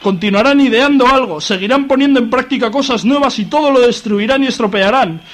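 Storybook role: man doing prehistoric grandad, elderly, indignant, exclaiming in a very high register. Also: fairly quick, a bit bright. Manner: exclaiming, scolding. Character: indignant old prehistoric grandfather railing against youth.

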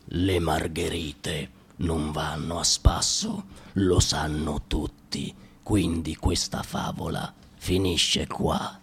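A man voicing a grumpy, pedantic old spoilsport who keeps interrupting a fairy tale, speaking slowly and low. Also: flat delivery, a bit bright, breathy edge, exaggerated voice. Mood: dismissive. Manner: slow, low, grumbling, keeps halting the tale.

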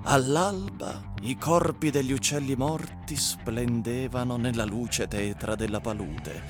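An actor playing a grim train passenger in a radio drama, telling of desolation in measured, literary phrases. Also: male voice. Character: grim male fellow train passenger telling of dying cities. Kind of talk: theatrical radio drama acting and storytelling. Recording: music under the voice. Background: plucked guitar or bass music.

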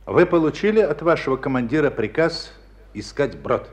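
An actor playing a stern, exacting commander of a military school in a radio drama, curt and authoritative. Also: male voice, on the high side, expressive, normal speed, lightly acted. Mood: stern questioning. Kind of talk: Russian radio drama acting.

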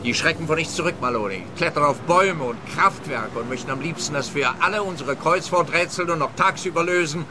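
An actor playing a pompous, complacent police official with an officious manner in a radio play. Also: male voice, on the high side, a little fast, few pauses, background noise, close to an everyday voice. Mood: grave, pompous.

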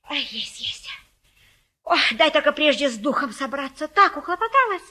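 An actress playing a garrulous, folksy old Russian matchmaker in a stage comedy, coaxing and boasting theatrically. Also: female voice, high-pitched, big pitch swings, moderate pace, pushed and bright, heavily acted. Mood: breathless complaining. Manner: folksy, garrulous, effusive, sing-song coaxing. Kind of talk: theatrical stage-comedy acting of a classic play.

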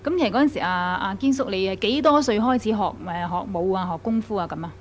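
A woman's voice: mid-range voice, expressive, fast talker, slight background noise. A woman, asking questions as an attentive interviewer in a conversational style. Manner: attentive, frequent short acknowledgements.

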